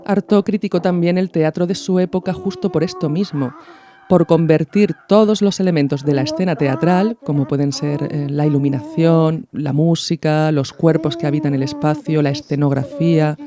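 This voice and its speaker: A woman hosting a podcast with scripted, didactic narration in measured phrases. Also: low-pitched, fairly even intonation, dark tone. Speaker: podcast host.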